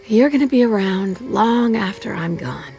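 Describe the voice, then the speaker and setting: A woman playing a loving, firm and worried mother in an animated game, speaking gently but firmly. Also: medium pitch, normal speed, creaky, soft background music. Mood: tender, worried. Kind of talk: animated game drama acting.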